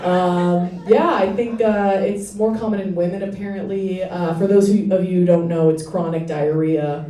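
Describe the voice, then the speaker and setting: A young woman with a deep voice doing a self-deprecating stand-up set, with hesitant fillers and dry delivery. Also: steady tone, moderate pace, dark tone. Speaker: stand-up comedian. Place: small comedy club stage with laughing audience. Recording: echoey club, audience laughter, from a distance.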